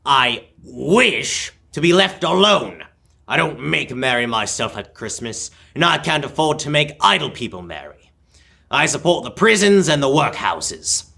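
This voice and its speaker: A man's voice: medium pitch, sing-song, a little slow, gravelly, bright, forceful tone, heavily acted. A young man playing a bitter, miserly old businessman in a live radio drama, speaking in a curt, scornful, emphatic way. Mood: dismissive. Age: teenager or young adult.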